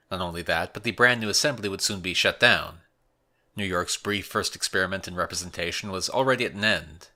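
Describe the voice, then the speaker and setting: A man hosting a history podcast, reading a scripted narration in a measured, explanatory way. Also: sits low, pushed and bright.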